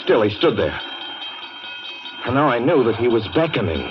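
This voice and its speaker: An actor playing a haunted, increasingly frantic motorist telling his eerie tale in a measured, dramatic 1940s radio suspense style. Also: medium pitch, very animated, lightly acted. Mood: haunted, grim. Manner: measured, dramatic, brooding delivery. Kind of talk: 1940s radio drama acting, first-person suspense narration. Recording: muffled old radio recording with loud music.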